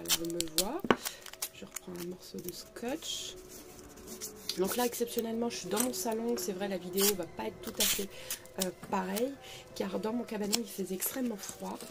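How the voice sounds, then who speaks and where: A woman's voice: medium pitch, vocal fry, heavy background noise. A middle-aged woman with a cold, chatting through a home craft tutorial with lots of fillers. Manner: chatty, repeats "tout simplement" and "voilà", many fillers. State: congested with a cold. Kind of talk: casual craft tutorial narration. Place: living room at home.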